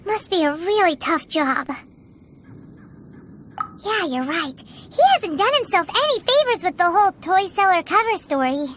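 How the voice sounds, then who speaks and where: A woman's voice: very high voice, lively intonation, measured pace, fairly bright tone, a little hiss or hum, full character voice. A woman voicing a tiny, chatty, childlike fairy companion in a video game, chirpy and gossipy. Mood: concerned. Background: background music.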